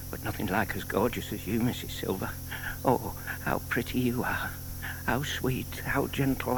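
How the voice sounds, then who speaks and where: An actor playing a shy, lovelorn older bachelor in an audio drama, earnest and hesitant in manner. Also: male voice, mid-range voice, slight background noise, low-quality recording. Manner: hesitant, earnest, murmured asides.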